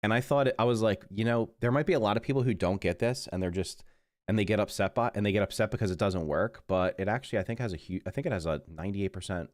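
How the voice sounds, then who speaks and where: A middle-aged man with a deep, congested voice chats casually to his livestream audience. He rambles and trips over his own sentences. The sound is dry and close, with no room around it. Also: fast, dry recording. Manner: rambling, self-correcting, mutters while busy. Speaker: livestreaming gamer. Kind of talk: casual live stream chat.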